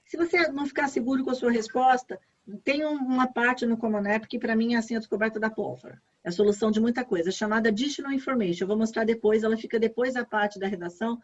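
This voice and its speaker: A woman's voice: on the high side, fast. A Brazilian woman, an education advisor, explaining an online application form step by step in a chatty way, stressing key points by repeating them. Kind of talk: online workshop walkthrough. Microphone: video call. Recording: muffled lo-fi call audio.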